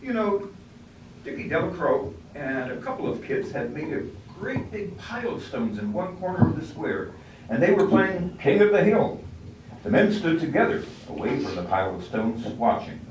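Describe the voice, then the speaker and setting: Man as male narrator, readers' theater, measured storytelling, scripted, roomy, distant microphone. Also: fairly high pitch, sing-song, a little mellow. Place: library room during a staged reading. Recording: echoey room, distant mic, faint noise.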